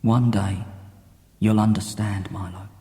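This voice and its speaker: A man playing a gentle, sorrowful father speaking earnestly and lovingly in a dramatic audiobook. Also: lowish voice, steady tone, pitched below the performer's own voice, close to an everyday voice. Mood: loving, anguished. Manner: earnest, slow and tender. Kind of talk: dramatic audiobook character reading. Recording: cassette audiobook with long reverb.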